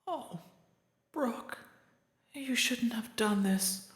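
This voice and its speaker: A man voicing a gentle, loving female ghost pony in a dramatic story reading, soft, breathy and reassuring. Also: very high voice, roomy sound, pitched above the performer's own voice, heavily acted. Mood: tender, wistful. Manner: tender, unhurried reassurance.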